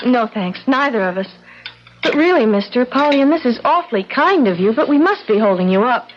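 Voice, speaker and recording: An actress playing an eager young wife in a 1970s radio drama, sweet, polite and gushingly enthusiastic. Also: female voice, on the high side, animated, a little fast, close to an everyday voice. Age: young adult. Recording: muffled, noisy 1970s radio recording.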